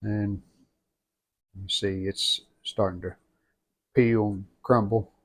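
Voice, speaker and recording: A man giving an unscripted hands-on restoration walkthrough in plainspoken, halting short phrases. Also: lowish voice, little pitch movement. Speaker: radio restorer presenting own channel.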